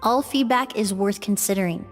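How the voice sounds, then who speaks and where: An actress playing a composed, courteous young perfumer with a measured, precise delivery in a video game. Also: female voice, medium pitch, soft background music, lightly acted. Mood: gracious, composed. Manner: measured, articulate, calm.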